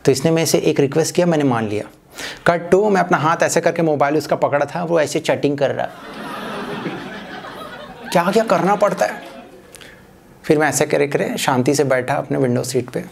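A man's voice: a little high, normal speed, vocal fry, pauses often. Young guy doing standup, acts out the voices of people in his story, handheld mic, a bit of noise behind. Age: young adult. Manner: animated storytelling with acted-out passenger voices. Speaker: stand-up comedian. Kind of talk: stand-up comedy set. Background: audience laughter.

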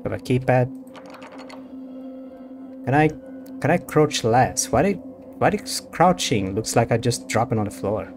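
A man's voice: medium pitch, expressive, unhurried, long pauses. A man streaming a game with casual, off-the-cuff commentary. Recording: game music behind the voice.

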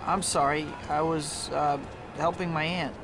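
An actor playing a young, cocky, good-natured superhero in a video game, quippy and sarcastic. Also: male voice, on the high side, little pitch movement, unhurried. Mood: earnest, sheepish. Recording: game audio with very loud effects noise.

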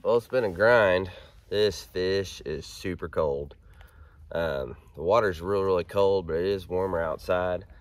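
A man in his thirties with a hoarse, froggy voice from a head cold, chatting casually as he fishes from a kayak on a creek, filmed by a pole-mounted camera. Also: sits low, measured pace, slight background noise. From Arkansas. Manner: chatty and upbeat, excited while reeling in fish. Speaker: fishing vlogger. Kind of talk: casual outdoor vlog. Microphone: action camera on a pole mount.

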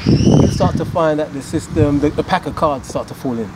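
A man raised in the UK, explaining calmly and steadily as an interview guest outdoors. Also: on the high side, normal speed, very noisy. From United Kingdom. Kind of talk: casual interview. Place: outdoor garden patio.

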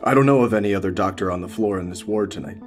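A man playing a stern, exasperated hospital doctor in a horror audio drama, with a commanding, sharp manner. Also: fairly low pitch, racing, faint music behind, natural voice. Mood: brisk, composed.

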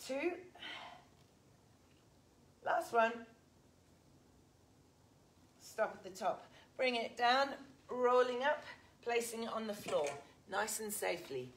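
A woman's voice: on the high side, animated, slow pace, pauses often, a little hiss or hum. English woman coaching a gym workout. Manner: upbeat, cueing reps while exercising. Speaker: fitness instructor. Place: large indoor gym. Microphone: fixed camera a few metres off.

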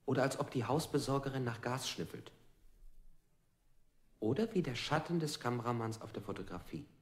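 An actor playing an evasive man under interrogation in a 1960s experimental radio play, giving deliberate, cryptic answers in a husky, gravelly voice. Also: medium pitch, expressive. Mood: musing. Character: interrogated man, evasive, rambling in surreal images.

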